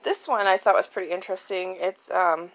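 Creaky-voiced woman chatting through craft items. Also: mid-range voice, steady tone, a little fast, low-quality recording. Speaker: craft channel host previewing auction items. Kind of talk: casual chatty show-and-tell. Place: indoors at home, at a card table. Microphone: handheld phone.

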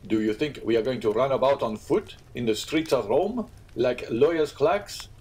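A man's voice: medium pitch, expressive, normal speed, a little mellow, pitched above the performer's own voice, natural voice. A man doing a headstrong young aristocrat. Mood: indignant.

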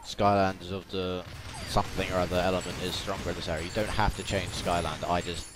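Low-voiced man doing game commentary. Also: little pitch movement, fast. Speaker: gaming video host. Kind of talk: casual let's play commentary. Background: video game music and sound.

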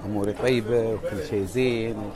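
A man in his forties with an Emirati Arabic accent, chatting casually into a phone on an earphone mic in a hotel room, animated and emphatic. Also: fairly low pitch, normal speed, a crowd in the background. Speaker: social media vlogger. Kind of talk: casual selfie vlog chat. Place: indoors by a window, hotel room. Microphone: wired earphone mic, phone at arm's length.

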